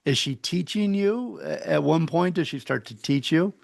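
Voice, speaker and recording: A man hosting a podcast, asking questions in a halting way, repeating words as he goes. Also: fairly high pitch, lively intonation, gravelly, no echo, studio quality. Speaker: podcast host. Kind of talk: interview questions.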